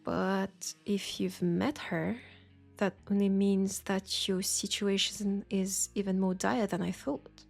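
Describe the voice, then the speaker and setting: Slow, husky French witch, played by a woman. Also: mid-range voice, level tone, darkish tone, faint music behind. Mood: amused, surprised. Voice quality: husky, breathy with creak. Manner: unhurried, teasing, dramatic pauses. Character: knowing, teasing, compassionate witch in a secluded werewolf town. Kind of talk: intimate roleplay audio drama, speaking to the listener.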